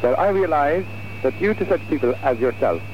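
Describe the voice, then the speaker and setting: A man's voice: fairly high pitch, expressive, a little fast. A man on radio giving a playful, teasing farewell to a colleague. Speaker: radio presenter. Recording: dull noisy radio recording.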